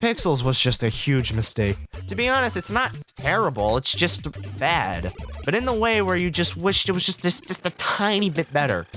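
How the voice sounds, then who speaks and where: A man's voice: on the high side, sing-song, normal speed, bright, forceful tone, soft background music. A young man giving casual, scripted video review commentary in a sarcastic, sweary manner. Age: young adult. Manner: sarcastic, profane. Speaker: video essay host, film student.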